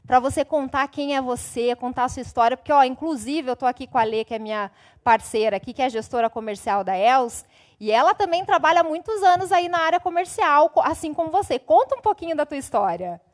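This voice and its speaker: High-voiced woman hosting a panel. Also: lively intonation, a little fast. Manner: addresses the audience directly and upbeat. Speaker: host.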